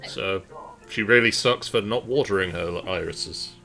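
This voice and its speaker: A man's voice: low voice, measured pace, vocal fry, sharp tone, soft background music. A man co-hosting a game stream, giving casual commentary.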